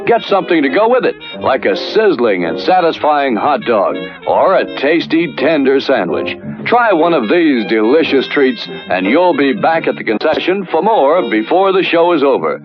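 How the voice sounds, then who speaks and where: A man's voice: mid-range voice, big pitch swings, normal speed. A man narrating a vintage drive-in snack advertisement like a polished salesman. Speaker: drive-in concession announcer. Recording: dull old drive-in promo, music behind.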